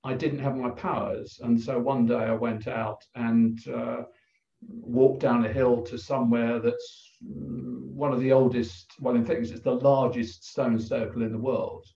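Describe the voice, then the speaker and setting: Older english guy on a video call, talks slow with lots of ums, sounds far off and kinda muffled. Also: mid-range voice, level tone, vocal fry, mellow. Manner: halting and reflective, many pauses and fillers. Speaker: guest. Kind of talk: telling a personal story in conversation. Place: plain room indoors. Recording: roomy, distant, dull video call audio.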